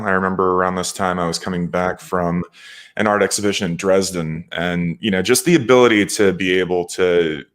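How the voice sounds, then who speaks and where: A man with a low voice speaks as a guest on a panel. His delivery is conversational and informal. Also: a bit bright. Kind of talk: casual chat.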